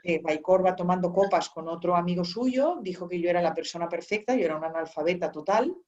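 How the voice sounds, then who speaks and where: A woman guest in an interview, telling a long story in a relaxed, rambling way with many restarts. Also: fairly low pitch, fast. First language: Spanish. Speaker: author and guest. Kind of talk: conversational interview. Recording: dull lo-fi sound.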